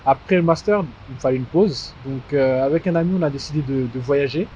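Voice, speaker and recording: A man in his twenties who grew up in Strasbourg, giving conversational interview answers with frequent mid-phrase pauses. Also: medium pitch, dark tone, slight background noise. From born in DR Congo, grew up in Strasbourg, France. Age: 20s. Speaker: interview guest, fashion house founder. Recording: dull muffled sound with music behind. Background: music.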